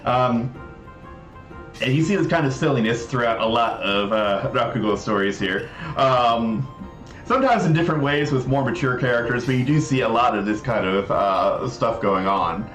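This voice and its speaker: A man presenting casually on a livestream, telling and explaining stories in an animated, amused way. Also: medium pitch, normal speed. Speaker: presenter of a rakugo translation project. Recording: roomy echo, faint music underneath.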